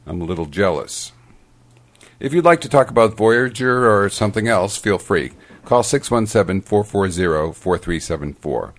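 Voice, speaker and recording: Deep-voiced man reading a podcast outro. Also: expressive, moderate pace. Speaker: podcast host. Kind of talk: scripted outro.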